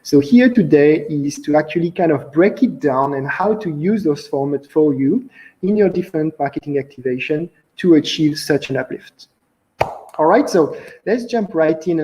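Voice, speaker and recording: Young Frenchman presenting, mellow voice. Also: fairly high pitch, rough recording. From France. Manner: relaxed, conversational with frequent "uh" fillers. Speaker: conference speaker, company founder. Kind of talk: online conference presentation. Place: indoor room, on video call. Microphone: video call.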